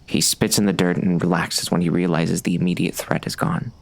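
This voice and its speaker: An actor playing a wry, brooding bounty hunter narrating a western audiobook in a gravelly voice. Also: male voice, sits low, fairly even intonation, brisk. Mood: wry, watchful.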